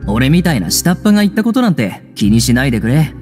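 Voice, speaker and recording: A man playing a chatty, humble shopkeeper in a video game, talking in casual rough speech with fawning, excitable admiration. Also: medium pitch, fast, faint music behind, lightly acted. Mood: apologetic. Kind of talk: Japanese video game voice acting.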